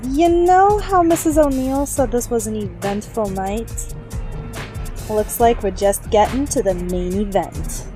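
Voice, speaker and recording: A woman's voice: fairly high pitch, measured pace, over music, natural voice. A woman playing a streetwise, plain-spoken young woman in an audio drama, casual and frank. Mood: friendly, wry.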